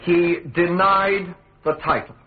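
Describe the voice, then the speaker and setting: An actor with a British accent playing a hostile, pompous state prosecutor, insistently cross-examining in a radio drama. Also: male voice, high voice, steady tone, takes it slowly, phone-quality sound. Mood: curt, official. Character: hostile, sardonic state prosecutor, forceful and scheming. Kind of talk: radio drama courtroom acting.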